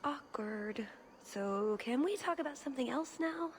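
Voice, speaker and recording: A woman's voice: sits high, expressive, unhurried, slight background noise. A woman playing a young agent in a fantasy game, breezy and polite yet guarded. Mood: awkward, guarded. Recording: long reverb.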